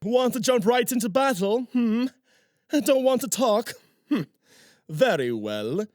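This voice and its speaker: A man's voice: very high-pitched, sing-song, slow, a little mellow, a little hiss or hum, heavily acted. A man playing a pompous, menacing noble sorcerer villain in a fantasy audio drama, gloating and taunting theatrically.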